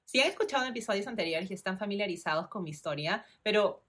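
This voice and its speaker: A woman in her thirties with a Peruvian Spanish accent hosts her own podcast, talking straight to her listeners. Her voice sits at an ordinary middle pitch. She explains things clearly and in a friendly way, one step after another. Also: animated, quick pace, a bit bright. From Peru. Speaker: podcast host. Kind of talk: informative solo podcast.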